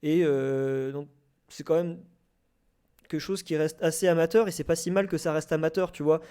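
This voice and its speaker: A man's voice: on the high side, steady tone, normal speed, warm tone, clear, resonant tone. A man talking in a casual debate, with an informal, wordy, slangy way of speaking. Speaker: debate participant, amateur audio fiction maker.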